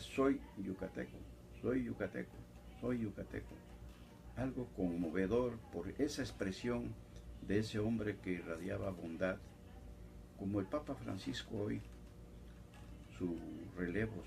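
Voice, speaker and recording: A man giving solemn political commentary in short, measured phrases. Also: on the low side, vocal fry, a little mellow, a little hiss or hum. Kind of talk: spoken news commentary.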